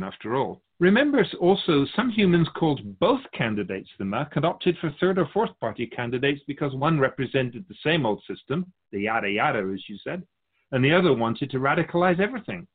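A man playing a wise, wry otherworldly keeper of souls in a scripted dialogue, measured and teasing, fond of rhetorical questions. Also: a little high, husky, narrow, tinny audio. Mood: wry, knowing.

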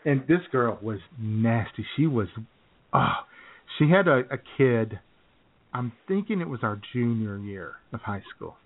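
An American man co-hosting a radio show, telling casual, joking stories in a halting way, often clearing his throat. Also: medium pitch, lively intonation. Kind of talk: casual comic chat, telling anecdotes. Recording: phone-like, narrow-band sound.

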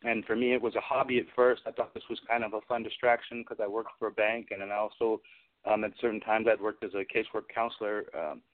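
Interview guest: man, casual and meandering, hesitant, fairly low pitch, telephone sound. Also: flat delivery, quick pace. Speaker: guest, special effects artist. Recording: phone-like narrow-band audio.

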